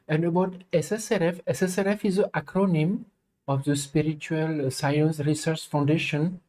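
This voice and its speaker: A middle-aged man from France with a French accent, hosting a live stream in halting, filler-filled English. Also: a little high, warm tone, low-quality recording. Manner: halting, many fillers, non-native English phrasing. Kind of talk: casual spoken introduction.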